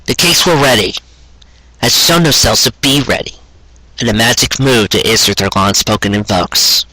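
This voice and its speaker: A man's voice: medium pitch, lively intonation, normal speed, pushed and bright, no echo, poor audio. A man narrating a scripted story in an earnest, sentimental manner. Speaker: narrator reading fan fiction.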